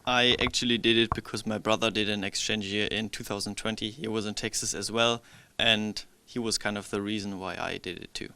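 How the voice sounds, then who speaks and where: Young man from Germany, exchange student, low gravelly voice, relaxed and matter-of-fact. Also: fairly quick, dry recording.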